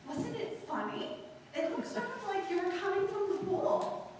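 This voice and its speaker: A very high girlish voice echoes from far off, a woman as a nosy young kid sister in a radio drama. She is impish and gossipy, with faint noise on the recording. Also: normal speed, darkish tone. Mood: gleeful gossip. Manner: artless, teasing, gossipy. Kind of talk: 1940s radio play acting.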